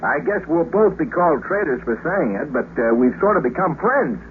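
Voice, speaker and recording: Through a tinny, slightly noisy 1950s radio recording comes an actor as a brash American astronaut. He is a good-hearted man who talks in wry slang with an American accent and a lively, swinging pitch. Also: fairly quick, lightly acted. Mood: friendly, businesslike. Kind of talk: 1950s radio drama acting.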